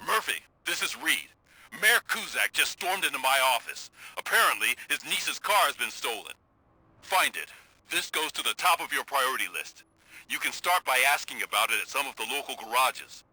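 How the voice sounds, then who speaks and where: An actor playing a gruff, harried police sergeant in a video game, brusquely giving orders in a husky, forceful voice. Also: male voice, medium pitch, lively intonation, moderate pace, no room sound, full character voice, rough recording. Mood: brusque, urgent.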